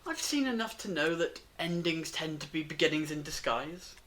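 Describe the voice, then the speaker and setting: A performer playing a wise, gently wry time traveller in an audio drama, speaking in a measured, reflective way. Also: medium pitch, lively intonation, natural voice. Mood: quiet, reflective. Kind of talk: fan-made audio drama acting.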